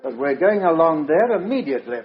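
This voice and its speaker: Brisk British police inspector, muffled vintage radio. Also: male voice, a little high, very animated, in a large hall. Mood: brisk, businesslike. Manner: businesslike, colloquial, politely probing. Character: brisk, genial but probing male police chief inspector. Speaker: radio actor. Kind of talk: 1950s radio acting.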